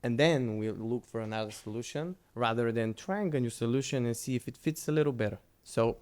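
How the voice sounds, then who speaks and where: Male presenter, English, relaxed, conversational, stumbles and restarts mid-sentence. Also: medium pitch, brisk. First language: Bulgarian. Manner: loose, conversational, with frequent restarts. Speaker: conference speaker. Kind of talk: conference presentation with Q&A.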